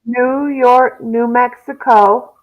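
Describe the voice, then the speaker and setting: A woman's voice: a little high, steady tone, slow pace, highs cut off. A woman in casual chat who recites lists from memory in an easygoing way.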